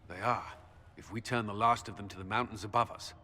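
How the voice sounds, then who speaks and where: A stern military commander barks clipped orders in a bright, forceful voice. His grim words trail off into a long, echoing hall reverb. He is a leader in a video game cutscene. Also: lowish voice, brisk.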